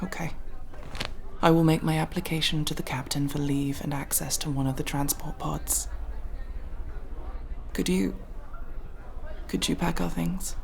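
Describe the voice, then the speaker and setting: Gentle alien woman, played by an actress, sci-fi ASMR drama: soft, halting, gravelly fry, faint noise behind. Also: low-pitched, steady tone. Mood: subdued, tender. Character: homesick female alien partner, gentle and hesitant. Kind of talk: ASMR roleplay audio drama.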